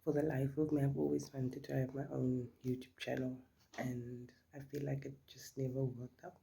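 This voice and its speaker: A woman's voice: a bass voice, little pitch movement, moderate pace, vocal fry, warm tone, husky. A middle-aged South African woman, Afrikaans her first language, chatting casually as she does her makeup for a vlog, often dropping into a whisper. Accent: South African English. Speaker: beauty vlogger. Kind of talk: casual makeup chit-chat.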